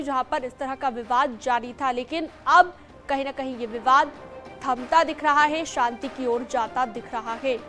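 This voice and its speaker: A woman's voice: high-pitched, a bit bright. A woman presenting live television news as an anchor, in an emphatic, repetitive manner.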